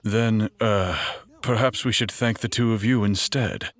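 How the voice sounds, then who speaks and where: Wood elf bard, male actor: deep, diffident, courteous, fantasy audio drama. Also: fairly even intonation, measured pace, lightly acted. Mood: polite. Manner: hesitant, courteous.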